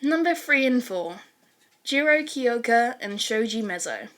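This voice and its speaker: Young woman, higher-pitched, reading fan fiction. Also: lively intonation, unhurried, fairly bright tone. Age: young adult. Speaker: podfic author and reader. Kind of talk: casual spoken intro and fan fiction narration.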